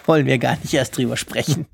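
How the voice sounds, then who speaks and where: A man's voice: medium pitch, fairly even intonation, brisk, vocal fry, husky, no echo. A man from Switzerland with a Swiss accent, talking casually and at length as co-host of a tech podcast, in a self-deprecating way.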